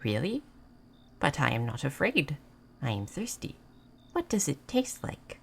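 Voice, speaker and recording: A woman playing a gentle, naive moth person in a roleplay audio, speaking in halting, simple, formal phrases. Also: sits low, sing-song, vocal fry, slight background noise, full character voice. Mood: curious, hesitant. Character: gentle, naive, curious moth person learning human things. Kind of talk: scripted roleplay audio addressed to the listener.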